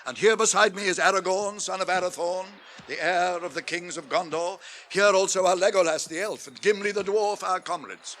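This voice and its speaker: Imperious old British wizard, radio drama: high, ringing, stately, impatient. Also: male voice, normal speed, hardly any pauses, a bit bright. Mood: commanding. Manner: stately, declamatory. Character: old male wizard, commanding, grand and impatient. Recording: faint background noise, radio drama.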